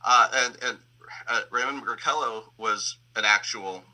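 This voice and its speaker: A man's voice: mid-range voice, a little slow, bright, forceful tone, poor audio. A man who works as a sound designer, explaining his creative process in an interview in a reflective way, often searching for words. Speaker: sound designer and audio engineer.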